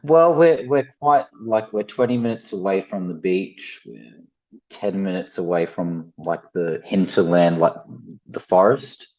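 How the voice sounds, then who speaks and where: A man's voice: deep voice, unhurried, darkish tone, highs cut off. A man in his thirties from the Gold Coast with an Australian accent, chatting casually as a podcast guest. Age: 30s. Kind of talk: casual conversation. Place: at home, main living space.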